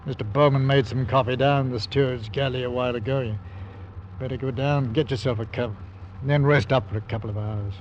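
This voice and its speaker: A man's voice: medium pitch, fairly even intonation, fast, darkish tone, natural voice. An actor playing a weary, fatherly older ship's captain in an old-time radio drama, firm and plain-spoken. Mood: consoling. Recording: dull vintage radio recording, faint noise.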